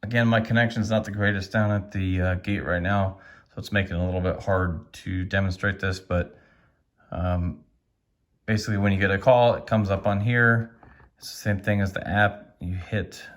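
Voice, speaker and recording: A man with a fairly low voice narrates a hands-on product demonstration. He talks casually, feeling his way through each step and thinking aloud as he works the device. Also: fairly even intonation, moderate pace. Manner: tentative, thinking aloud while operating a device.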